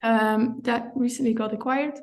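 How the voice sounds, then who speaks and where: A woman from Belgium with a fairly high, gravelly voice, talking as a guest in a relaxed interview. She works through her answers thoughtfully, pausing, correcting herself and laughing lightly. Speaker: compliance director, guest. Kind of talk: conversational interview.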